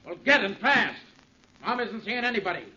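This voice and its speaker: A man's voice: sits high, expressive, normal speed, bright, forceful tone, exaggerated voice. An actor playing a curt, angry young man guarding his mother's house in a 1940s radio drama. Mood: hostile, threatening. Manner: hostile, curt, commanding. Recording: muffled 1940s radio recording with hiss.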